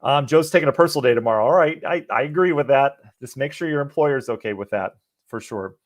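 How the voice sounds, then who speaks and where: A man, a meteorologist, casually answering viewers' questions during a live stream, sounding a little hoarse and sniffly as his voice starts to go. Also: mid-range voice, fast talker, no echo. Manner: easygoing, chatty, answers viewers by name. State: losing his voice, a bit sniffly.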